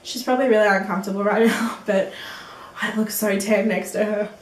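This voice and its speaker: A woman's voice: mid-range voice, steady tone, a little fast, far from the mic. An eighteen-year-old woman chatting through a beauty Q&A, giggly and loose, with plenty of ums and likes. Her voice sits in the middle range. She records in an echoey room, a little away from the camera mic, over a faint hiss. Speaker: beauty vlogger. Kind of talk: casual Q&A vlog.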